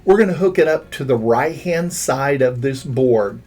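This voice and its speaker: A man's voice: medium pitch, animated, normal speed, darkish tone. A man reading a scripted instructional narration as a tutorial narrator, guiding the listener step by step. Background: background music.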